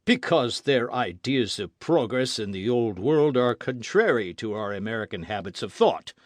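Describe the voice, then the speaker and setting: A man's voice: medium pitch, lively intonation, normal speed, no echo, clean studio sound, natural voice. A man voicing a gloomy retired army colonel with a grumbling, pessimistic manner.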